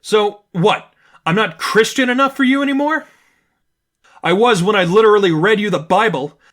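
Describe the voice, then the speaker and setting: A man's voice: sits high, normal speed, fairly bright tone, voice pushed up. A man voicing an angry, argumentative young woman, an impassioned and condescending friend, in a dramatized story reading. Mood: angry, scornful. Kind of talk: dramatized fan fiction reading.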